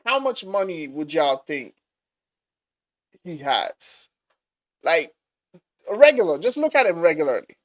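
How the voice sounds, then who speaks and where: A man hosting a live reaction stream, talking excitedly to his viewers and calling them bro. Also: fairly high pitch, a little slow, pauses often. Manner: excited, talks to viewers, says bro a lot.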